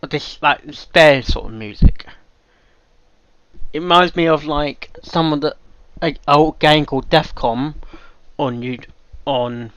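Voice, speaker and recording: A man hosting a reaction video, giving casual off-the-cuff commentary in a halting, rambling way and often repeating himself. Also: a little high, a bit bright, clear, resonant tone, no echo, highs cut off.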